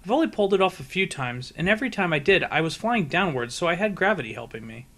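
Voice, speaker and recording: A man's voice: sits high, fast, full character voice. Man voicing a cocky young female pegasus flier. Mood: confident, eager. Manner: cocky, excitable, emphatic. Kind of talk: fan fiction audiobook character voice.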